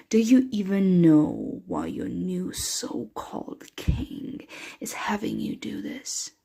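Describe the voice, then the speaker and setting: A woman voicing a possessive, protective, motherly vampire in an intimate ASMR roleplay, breathy and often dropping into a whisper. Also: mid-range voice, mellow, exaggerated voice. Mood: cold, commanding. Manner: slow, intimate, soothing address to a dear one.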